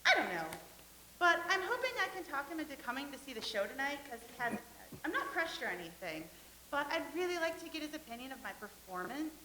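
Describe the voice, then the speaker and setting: Woman doing a giggly starstruck young actress, bright high voice, echoey room. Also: sing-song, fast, slight background noise, full character voice. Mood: dreamy. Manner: gushing and naive, with giggles. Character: vain, starry-eyed young stage actress, woman. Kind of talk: staged play reading.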